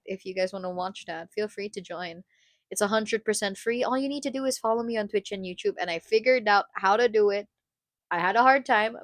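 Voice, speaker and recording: A woman's voice: medium pitch, dry recording, clean studio sound. A woman from the Philippines chatting casually on a live stream, rambling and cutting herself off, laughing often.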